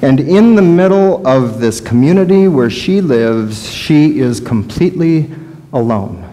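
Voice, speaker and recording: A middle-aged man with an American Midwestern accent, preaching a story-led sermon in deliberate, halting phrases. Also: mid-range voice, expressive, dark tone. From Wisconsin, United States. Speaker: Lutheran pastor. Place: large church sanctuary, indoors, before a congregation. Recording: reverberant church hall.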